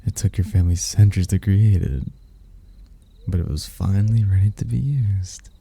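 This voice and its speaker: Man playing a gravelly, very deep seductive demon. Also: mellow, heavily acted. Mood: puzzled, realizing. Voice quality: gravelly, husky. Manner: smooth-talking, teasing, slow and deliberate. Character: seductive, smug male demon in human form, mocking hunter. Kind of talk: intimate ASMR roleplay.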